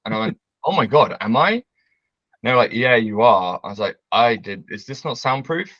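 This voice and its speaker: A man, telling a story as a guest on a video call, loud and brash, laughing often. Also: mid-range voice, animated, normal speed, no room sound, highs cut off. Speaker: interview guest. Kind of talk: casual storytelling. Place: bright home room with large windows.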